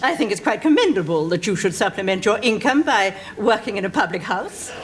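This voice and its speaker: A woman's voice: medium pitch, lively intonation, fairly quick, very noisy, natural voice. An actress playing a haughty married woman who speaks in a condescending, imperious way.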